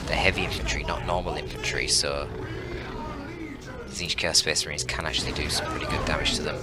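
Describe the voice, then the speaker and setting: A man giving live, analytical and conversational commentary on a strategy game, as a shoutcaster does. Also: a bass voice, flat delivery, brisk. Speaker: esports shoutcaster. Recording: voice over loud game audio. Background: loud game music and battle sounds.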